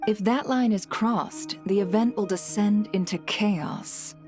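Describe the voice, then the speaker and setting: A poised, shrewd guild boss lady played by a woman in a video game. She speaks in a composed, deliberate, businesslike way at a medium pitch, with music playing behind her. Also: darkish tone, lightly acted. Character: poised, shrewd female guild leader giving out a commission.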